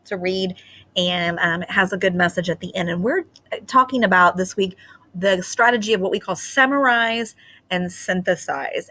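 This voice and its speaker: A woman's voice: medium pitch, sharp tone. A woman speaking as a teacher leading a children's read-aloud, explaining simply and reading expressively.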